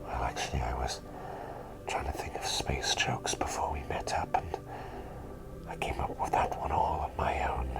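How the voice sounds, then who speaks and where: A man playing a gentle, doting plague doctor in a soothing whispered ASMR roleplay, attentive and softly playful. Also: rumbling low, flat delivery, normal speed, creaky, poor audio.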